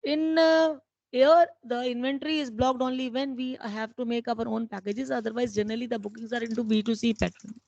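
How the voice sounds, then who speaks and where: A woman's voice: fairly high pitch, fairly even intonation, fairly quick, vocal fry, darkish tone, dry recording, lo-fi sound. A woman with an Indian accent answering as a company executive on an investor conference call, explanatory and matter-of-fact.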